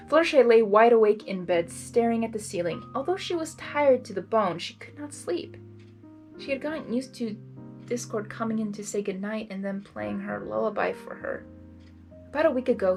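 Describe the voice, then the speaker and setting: High-voiced woman reading and reacting. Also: moderate pace, creaky, faint music behind. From Hungary. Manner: expressive, rambling asides. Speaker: fan fiction reader and commentator. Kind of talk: blind reading with casual reaction commentary.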